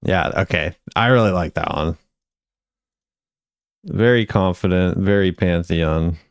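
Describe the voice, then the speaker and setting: Male video host, deep pitch, unhurried pace, casual gaming commentary. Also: clean tone, no echo, clean studio sound. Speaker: video host ranking game voice lines.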